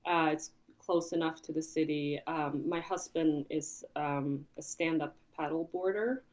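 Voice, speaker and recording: A woman's voice: sits low, fairly even intonation, measured pace, darkish tone. A woman from Sweden in her thirties, chatting as a guest, with many fillers and frequent laughs. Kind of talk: casual chat.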